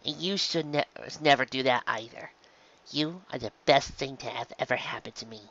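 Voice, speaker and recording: A woman's voice: very deep voice, moderate pace, fairly bright tone, muffled recording, exaggerated voice. A woman voicing a loving, protective pegasus mother in a story, speaking in a tender but firm maternal way. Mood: fierce, tender.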